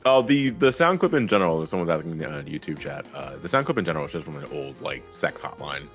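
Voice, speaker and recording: A man with a very deep voice co-hosts a livestream in casual, teasing banter. His pitch swings widely as he talks, and there is faint music behind him. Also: very animated, quick pace. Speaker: livestream co-host.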